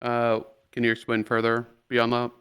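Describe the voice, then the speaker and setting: A man playing a trial lawyer in improvised roleplay, questioning in a halting, repetitive, insistent way. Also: medium pitch, monotone, a bit bright, clean tone, close to an everyday voice. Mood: probing. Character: pushy, rambling male trial lawyer.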